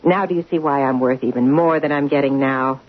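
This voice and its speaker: An actress playing a sly, blackmailing housekeeper in an old-time radio drama, falsely sweet and insinuating. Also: female voice, very deep voice, fairly quick. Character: sly blackmailing housekeeper, middle-aged woman. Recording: tinny lo-fi radio recording.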